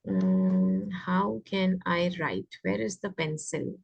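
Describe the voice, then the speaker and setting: A woman's voice: fairly low pitch, sing-song, takes it slowly, gravelly, no echo, highs cut off. A woman with an Indian accent teaching children English grammar, explaining and often asking questions to check understanding. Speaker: teacher of an online class.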